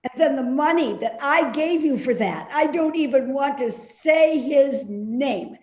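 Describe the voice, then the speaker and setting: A woman's voice: sits high, expressive, a little mellow. A woman in a play reading, playing a suspicious, complaining elderly mother who nags indignantly. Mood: accusing. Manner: nagging, indignant, slow and insistent. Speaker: actor in a readers theater play. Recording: roomy, echoey remote reading.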